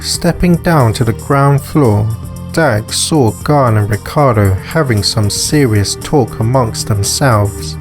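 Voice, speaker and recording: A man's voice: medium pitch, takes it slowly, over music. A man reading scripted narration for a visual novel as its narrator. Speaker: narrator and author.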